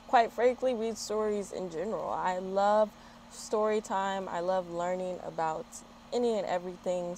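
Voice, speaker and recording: An American woman hosting a children's story time, reading and chatting expressively outdoors. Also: medium pitch. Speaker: story time host and children's book reader. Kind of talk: children's read-aloud with friendly chat. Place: outdoors in a yard. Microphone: small external microphone. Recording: outdoor street-work and traffic noise. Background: street work, traffic, outdoor ambience.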